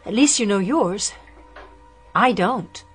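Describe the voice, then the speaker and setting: An actress playing a restless, sheltered young daughter who speaks earnestly in a radio drama. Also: female voice, medium pitch, animated, slow, faint music behind.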